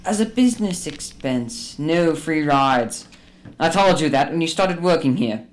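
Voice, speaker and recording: A man's voice: on the high side, animated, unhurried, a little hiss or hum. A man playing a crotchety, scolding old miser businessman in a radio drama. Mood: stern, accusing. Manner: scolding, indignant, barking demands. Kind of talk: stage-like radio drama acting.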